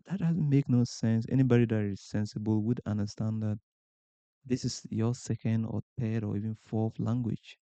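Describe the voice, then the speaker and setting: Ghanaian guy hosting a podcast, deep-ish gravelly voice, dull muffled sound. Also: mellow, no echo. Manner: earnest, asks rhetorical questions, repeats for emphasis. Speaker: podcast host. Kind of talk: motivational talk to camera.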